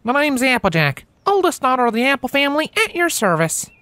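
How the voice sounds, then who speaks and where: A man's voice: extremely high pitch, sing-song, fairly quick, pushed and bright, no echo, pitched above the performer's own voice, full character voice. A man voicing a cheerful, folksy country pony mare with a Southern country drawl. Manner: folksy and drawling, chuckling at her own jokes.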